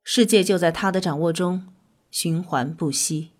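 A woman playing a calm, earnest scientist, explaining in a measured way in an audio drama. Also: on the low side. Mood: calm, reverent. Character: calm, earnest female scientist with mystical faith.

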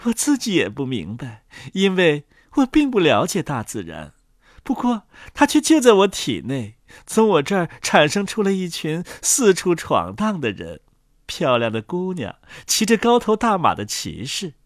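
A pompous old talking inkwell from a storybook, acted out by a man. The voice sits a little high and leaps theatrically up and down, boastful and haughty, in a dry close sound. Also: huge pitch swings, moderate pace, no room sound. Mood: self-satisfied wonder. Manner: pompous, theatrical, self-admiring.

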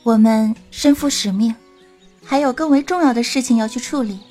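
Woman acting a high-voiced young adventurer girl. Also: moderate pace, mellow, faint music behind, close to an everyday voice. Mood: earnest. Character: young female adventurer, earnest, bossy with her companion. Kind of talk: Chinese audio drama acting.

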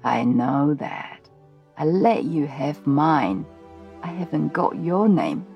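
Ancient calm royal granny, a woman putting her on, slow low gravelly voice, soft music. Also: muffled recording, heavily acted. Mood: serene. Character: very old royal great-great-grandmother, calm, mysterious.

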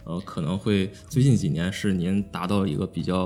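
Male podcast host, quick delivery, mellow dark tone, polite interviewer. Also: deep voice, fairly even intonation, faint music behind. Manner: polite, rambling questions. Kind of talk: interview questions.

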